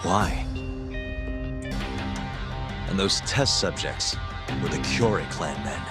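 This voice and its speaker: A controlled, stern interrogator in a video game drama. His voice is very low, his questioning terse and probing. Music plays clearly underneath. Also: expressive, slow pace. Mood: grave, controlled. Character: stern, controlled male interrogator pressing for truth. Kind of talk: video game cutscene acting.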